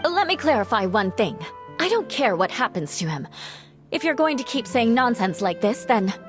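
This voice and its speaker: Proud, lively young noblewoman. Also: a little high, moderate pace, over music. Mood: indignant. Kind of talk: anime game voice acting.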